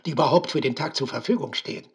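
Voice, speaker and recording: A man's voice: medium pitch, fast, husky, voice pushed up. A man voicing a brusque, commanding figure who barks reproaches in a literary radio drama. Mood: snapping, stern. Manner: sharp, barking, reproachful.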